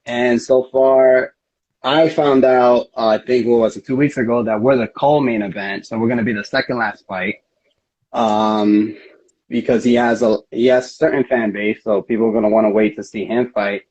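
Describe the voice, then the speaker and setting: A man's voice: medium pitch, steady tone, normal speed, a little mellow. A man speaking casually as a fighter being interviewed, telling things in an earnest, rambling way. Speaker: MMA fighter, interview guest. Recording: dull lo-fi audio.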